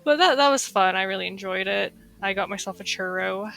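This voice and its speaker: Bright, nasal young woman chatting casually. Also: mid-range voice, brisk, vocal fry, soft background music, rough recording. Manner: chatty, rambling, lots of fillers. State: recovering from illness, slightly stuffy. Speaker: gaming streamer. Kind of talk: casual gameplay commentary.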